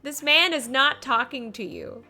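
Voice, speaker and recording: A woman's voice: sits high, unhurried. A woman giving casual, playful live commentary as she streams a game. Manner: playful, animated. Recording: faint game music behind.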